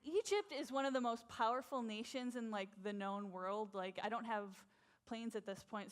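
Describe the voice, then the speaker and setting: A woman doing a nervous, insecure male prophet who trips over his own words, stammering and restarting, his voice sitting fairly high. He hedges and pleads with constant fillers. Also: natural voice.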